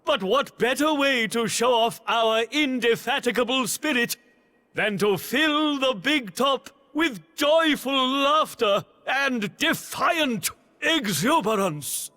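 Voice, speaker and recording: High-voiced bombastic circus ringmaster. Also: male voice, animated, takes it slowly, sharp tone, long reverb tail, exaggerated voice. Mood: rousing, grandiose. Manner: bombastic, grandiloquent. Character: grandiose male circus ringmaster, showy announcer. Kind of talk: big-top announcement, video game acting.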